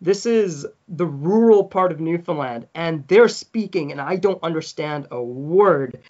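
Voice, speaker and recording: A man's voice: on the high side, lively intonation, measured pace, a little mellow, highs cut off. A man in his thirties, originally from South Africa, telling stories as a podcast guest, laughing often and acting out the people he quotes. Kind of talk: casual storytelling.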